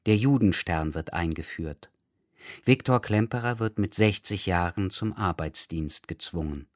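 A man's voice: sits low, no echo, clean studio sound. A man introducing a radio play with sober, factual scripted narration.

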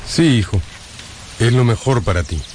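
A man's voice: medium pitch, animated, moderate pace, a little mellow, big-room sound, slight background noise, natural voice. An actor playing a solemn, authoritative middle-aged father in a radio drama.

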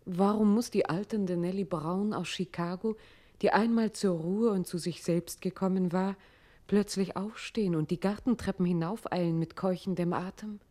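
An actress as a pensive narrator of a literary radio play, speaking in measured, questioning phrases. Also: female voice, on the low side, fairly even intonation, dark tone, natural voice.

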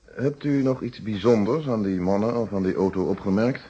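Husky, low-voiced police inspector. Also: male voice, mellow, lo-fi sound. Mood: brisk, probing. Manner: formal, measured, probing. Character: courteous, methodical male police inspector conducting an inquiry. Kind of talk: Dutch radio play acting.

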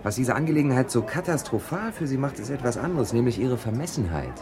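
An actor playing a scandalised Texan who lectures a newcomer in a radio drama. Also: male voice, medium pitch, sing-song, fast talker, crowd noise, natural voice.

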